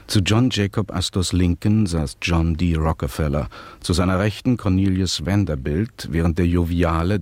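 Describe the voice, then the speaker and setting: An actor narrating as a dignified, solemn chronicler in a German radio play, reporting formally. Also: male voice, low voice, a little mellow, no echo. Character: solemn, dignified male chronicler of wealthy passengers.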